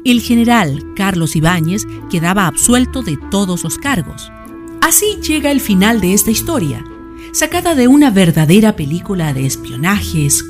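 A narrator with a Chilean accent delivering scripted, dramatic narration for a radio drama. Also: mid-range voice.

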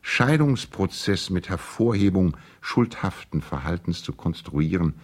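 An actor playing an earnest, conciliatory lawyer in a 1970s radio play, reading a formal letter in a deliberate, gently reproving way. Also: male voice, deep voice, lively intonation, heavily acted. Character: measured, conciliatory lawyer and loyal family friend, gently reproving.

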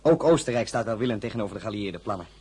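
An actor playing a young, loyal count who speaks with earnest deference in a dramatic audio play. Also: male voice, lowish voice, expressive, rapid-fire, a little mellow, husky. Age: young adult. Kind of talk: dramatic radio play acting.

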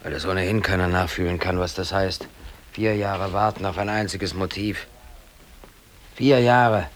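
A man's voice: deep voice, fairly even intonation, normal speed, a little hiss or hum, close to an everyday voice. An actor playing an aggrieved, defiant working man in his thirties, brooding aloud in colloquial speech in a radio drama. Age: 30s. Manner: colloquial, brooding, talking to himself. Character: aggrieved, defiant working man, hobby photographer, in police custody.

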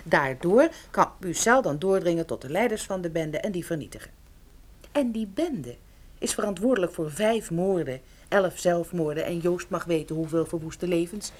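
A woman playing a detective's level-headed female assistant in a Dutch radio play, speaking in a calm, firm and reassuring way. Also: lowish voice, moderate pace. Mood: firm, matter-of-fact.

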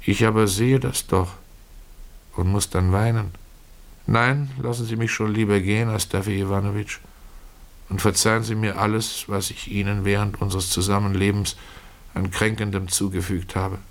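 A man voicing a timid, weepy drunkard who pleads haltingly and apologetically in a radio play. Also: lowish voice, flat delivery, lightly acted. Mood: tearful, hurt. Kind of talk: German radio play reading.

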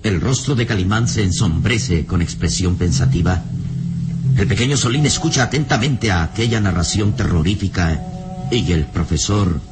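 A man playing the grave narrator of an eerie radio drama, reading florid scripted lines in a deliberate, dramatic way. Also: low-pitched, over loud music, natural voice. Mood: grave, poetic. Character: grave, poetic male narrator of eerie tales.

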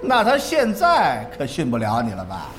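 A man's voice: medium pitch, huge pitch swings, moderate pace. An actor playing a fawning, snickering male sidekick in a radio drama, ingratiating and goading. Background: background music.

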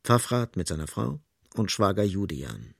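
An actor as the grave, sardonic narrator of a literary radio drama, speaking deliberately and with dramatic emphasis. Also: male voice, sits low, big pitch swings, vocal fry, background noise, full character voice.

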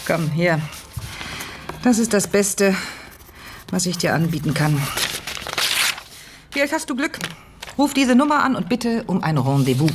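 Lively, sly female informant. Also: medium pitch, measured pace, long pauses, background noise. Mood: sly, bargaining. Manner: sardonic, teasing. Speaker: actor in a radio play. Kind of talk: radio drama dialogue.

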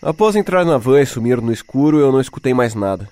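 A man's voice: mid-range voice, lively intonation, fast, darkish tone, dry recording, lightly acted. A man acting as a shaken young Brazilian who tells his own horror story in a suspenseful, dramatic way. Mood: ominous, tense. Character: shaken young man recounting a night-time horror encounter. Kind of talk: dramatic first-person horror story narration with acted dialogue. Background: music.

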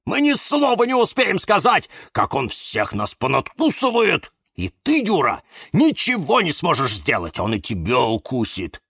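A man voicing a grumpy, hot-tempered and suspicious cactus in a children's story, barking loudly and indignantly. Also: fairly high pitch, wildly swooping pitch, measured pace, creaky, few pauses, breathy edge, no echo, studio quality, voice pushed up, full character voice. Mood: furious, fearful.

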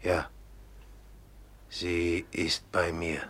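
An actor in a 1950s radio play voicing a brooding, grim young man who speaks slowly and heavily. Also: deep voice, flat delivery, fairly bright tone, slightly rough, heavily acted. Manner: slow, heavy, brooding. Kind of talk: 1950s radio drama acting.

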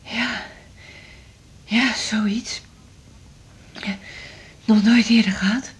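An actress playing an elderly, frightened hospital patient in a radio drama, short of breath and speaking in faint, halting whispers. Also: female voice, a little high, steady tone. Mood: weakly relieved. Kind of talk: broadcast radio drama acting.

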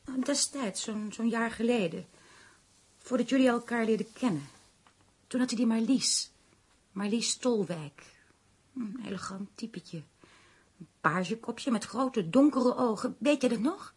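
An actress in a Dutch radio play playing a concerned, gossipy woman who confides dramatically in her friend, with a husky voice. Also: a little high, animated, measured pace, pauses often, a little mellow, exaggerated voice. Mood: agitated, probing. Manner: dramatic, lingering over grim news.

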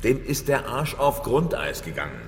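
A man's voice: medium pitch, sing-song, moderate pace, close to an everyday voice. Guy playing scornful gang boss type, commanding speechy tone, big echoey space w some noise. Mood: menacing, reproachful. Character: manipulative male ringleader of far-right comrades. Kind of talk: German radio crime drama acting. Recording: echoey hall, long reverb, background noise.

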